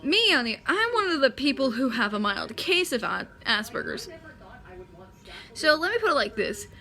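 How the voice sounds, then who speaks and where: Bright, lively-voiced young woman vlogging. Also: sits high, moderate pace. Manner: rambling, self-correcting, chatty. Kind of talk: casual talking-head explainer.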